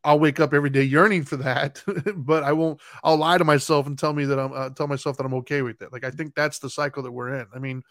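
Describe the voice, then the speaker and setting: A man's voice: fairly high pitch, fairly even intonation, fast, few pauses, fairly bright tone, dry recording, clean studio sound. A man talking thoughtfully in a casual podcast conversation, often searching for words with fillers and restarts. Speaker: podcast co-host.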